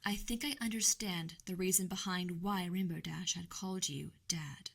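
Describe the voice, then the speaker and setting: A woman voicing a gentle, regal pony princess who talks calmly and formally. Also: on the low side, moderate pace, creaky. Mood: surprised. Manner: calm and formal.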